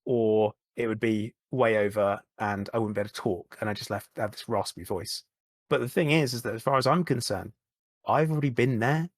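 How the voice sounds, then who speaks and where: A man, a podcast guest, talking candidly in a halting way, often repeating words and starting over. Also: on the low side, no room sound, clean studio sound. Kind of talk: candid conversational chat.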